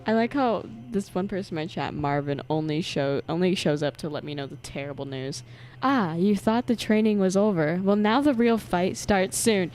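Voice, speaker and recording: A woman's voice: lowish voice, expressive, moderate pace, a little mellow, faint music behind. A woman giving casual, playful, reactive commentary as a game livestreamer, often giggly.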